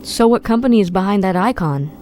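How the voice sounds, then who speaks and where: A woman's voice: medium pitch, animated, quick pace, heavy background noise, lightly acted. A woman playing a determined, wry man on the run in a fan dub of a film drama. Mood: curious, skeptical.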